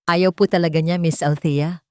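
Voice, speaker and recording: A woman's voice: lowish voice, fairly even intonation, normal speed, warm tone, no echo, clean studio sound, natural voice. A woman with a Filipino accent playing a worried, deferential household helper with an anxious, polite manner in an audio drama.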